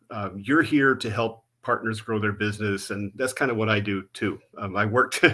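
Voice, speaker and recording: A man guesting on a podcast as a sales consultant, talking in a relaxed, measured way with a few fillers. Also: medium pitch. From United States. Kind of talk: casual interview talk.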